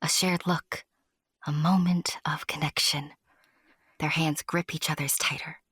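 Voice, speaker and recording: A woman playing an intimate storyteller who confides in the listener in a breathy whisper, narrating an audio drama. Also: deep voice, steady tone, measured pace, fairly bright tone, no echo, studio quality, exaggerated voice. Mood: hushed, confiding.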